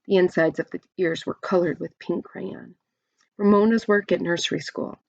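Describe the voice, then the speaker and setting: A woman's voice: fairly low pitch, steady tone, moderate pace, creaky, a little mellow, no room sound, lo-fi sound. A woman reading a children's storybook aloud expressively, like a teacher to young listeners.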